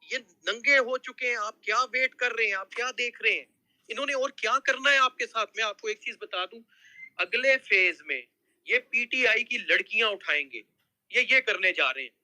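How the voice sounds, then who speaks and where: A man's voice: very high voice, normal speed, sharp tone, dry recording, poor audio. A man with a Pakistani accent giving an urgent, agitated political appeal in a group discussion, repeating himself to drive points home. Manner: agitated, exhorting, repetitive.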